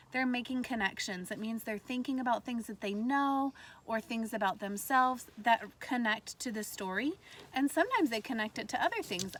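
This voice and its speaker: A woman teacher reading a storybook aloud to kindergartners in an animated way, pausing to put questions to her listeners. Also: fairly high pitch. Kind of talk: read-aloud lesson for young children. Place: outdoors in a backyard tree fort among trees. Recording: faint outdoor ambient noise.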